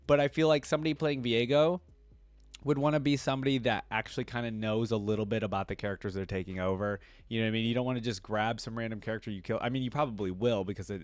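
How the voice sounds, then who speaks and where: A man's voice: medium pitch, no echo. A man hosting a reaction video with casual commentary, rambling as he thinks aloud.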